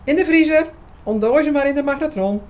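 Frank, expressive young woman, played by an actress. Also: sits high, fairly quick, lightly acted. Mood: lively, teasing. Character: lively, frank young woman in love with priest friend. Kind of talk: Dutch audio drama dialogue.

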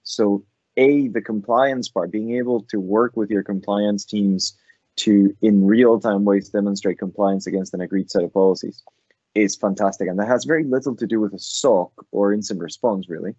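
Scottish guy wrapping things up in tidy points, low-ish voice, dry sound. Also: moderate pace, warm tone, husky, no room sound, dull audio. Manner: structured, enumerating points. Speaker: co-presenter. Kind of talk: technical webinar summary.

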